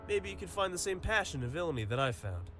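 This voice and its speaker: Man playing an easygoing, higher-voiced young villain. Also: sing-song, quick pace, a bit bright, faint music behind. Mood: casual, doubtful. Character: cheeky, easygoing young male villain henchman. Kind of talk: audio drama acting.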